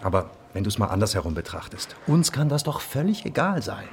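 An actor playing a dry, stubborn undercover police detective in a crime radio drama, laconic and sardonic. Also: male voice, lowish voice, brisk. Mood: dry, confident. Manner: laconic, sardonic, deadpan wording. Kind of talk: naturalistic German crime radio drama acting. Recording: faint background noise.

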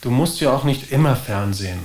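A woman's voice: extremely low pitch, animated, fairly quick, darkish tone, roomy sound. A woman playing a curt, nagging, offhand parent in a naturalistic German radio drama. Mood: reproving.

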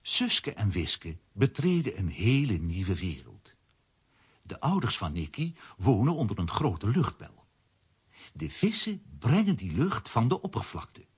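A man narrating a children's adventure audio drama in a theatrical storytelling manner, with a husky, rough voice. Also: lowish voice, wildly swooping pitch, measured pace, heavily acted.

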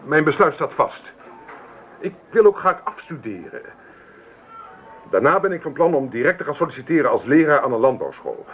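An actor playing a restless, stubborn young student husband from a farming family in a radio play, sounding insistent and self-justifying. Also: male voice, on the high side, big pitch swings, moderate pace, long pauses. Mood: defiant, earnest. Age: young adult. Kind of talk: Dutch radio play acting. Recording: noisy, thin, phone-like old radio recording.

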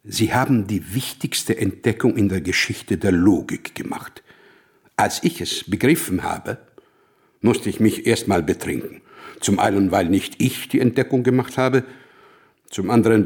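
A low, husky male voice for a radio play: a brilliant, melancholic mathematician. He speaks deliberately, with wry pomposity and a sense of urgency. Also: darkish tone, heavily acted. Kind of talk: radio drama acting.

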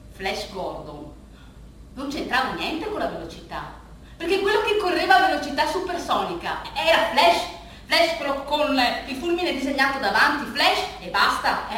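An actress playing a bittersweet, rambling woman who reminisces in a halting, confiding, repetitive colloquial way, as in a theatre monologue. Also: a little high, a bit bright. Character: rambling, bittersweet woman reminiscing about her athletic youth. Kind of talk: theatrical monologue, conversational storytelling. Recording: echoey room, distant mic, faint noise.

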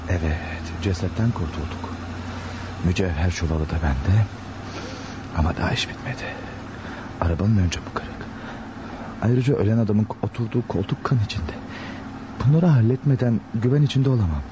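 An actor playing a nervous, scheming opportunist with a jittery, muttering way of talking in a Turkish radio drama. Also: male voice, lowish voice, moderate pace, pauses often, close to an everyday voice. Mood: anxious, calculating. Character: nervous scheming male opportunist hiding stolen loot. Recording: narrow-band radio recording, faint hiss.

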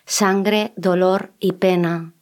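A woman's voice: on the low side, steady tone, extremely slow. Woman reciting solemn spoken-word poetry. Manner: solemn, rhythmic recitation with refrains. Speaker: poet reciting her own poem.

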